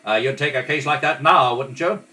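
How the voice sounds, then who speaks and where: A man's voice: mid-range voice, fairly quick, bright, forceful tone, lightly acted. A man playing a pushy, eager newspaper reporter in an old-time radio play. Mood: pressing. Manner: eager, pressing. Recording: home kitchen, distant mic.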